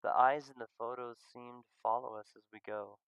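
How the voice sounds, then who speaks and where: A man reading a visual novel live in a soft, quiet narration, chatting with viewers between lines. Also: mid-range voice, steady tone, a little fast, gravelly, poor audio.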